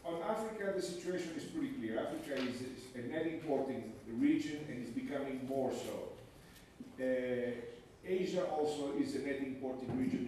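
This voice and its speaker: A man's voice: medium pitch, dark tone, recorded from a distance, a little hiss or hum. Man asking a question in an echoey hall. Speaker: audience member asking a question. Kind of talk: conference Q&A. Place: large conference hall.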